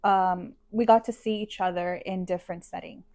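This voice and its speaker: A woman's voice: lowish voice, steady tone, moderate pace, warm tone. A woman in her twenties from El Salvador, co-hosting a casual podcast chat, chatty and agreeable, with many fillers. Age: 20s. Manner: chatty, agreeable, lots of yeah and um. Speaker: podcast co-host.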